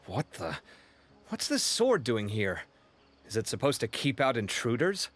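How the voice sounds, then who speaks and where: A man playing an expressive young man in a video game. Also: medium pitch, unhurried. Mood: baffled.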